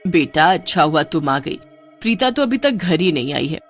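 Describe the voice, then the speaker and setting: A woman's voice: low voice, very animated, normal speed. A woman voicing an anxious middle-aged mother in a cartoon. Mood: worried. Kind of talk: Hindi cartoon voice acting. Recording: music under the voice.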